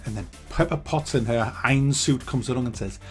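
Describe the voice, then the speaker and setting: A man giving a ranting movie review, animated, sarcastic and full of swearing. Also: medium pitch, a little fast, soft background music.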